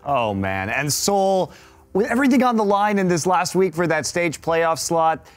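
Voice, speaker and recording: A man's voice: sits high, big pitch swings, moderate pace, faint music behind. A man hosting and analyzing on a casual esports panel, with playful storytelling delivery.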